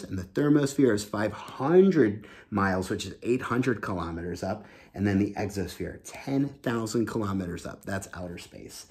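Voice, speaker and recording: A man in his thirties reading a children's book aloud, explaining it simply and enthusiastically in a room at home. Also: mid-range voice. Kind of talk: animated read-aloud storytelling with explanations. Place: small home room indoors.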